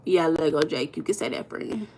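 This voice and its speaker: A woman's voice: sits low, normal speed, a little mellow, a little hiss or hum. A woman from Los Angeles with an American accent, hosting a casual livestream and reading viewer comments aloud with teasing, slangy banter. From Los Angeles, USA. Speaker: livestream host.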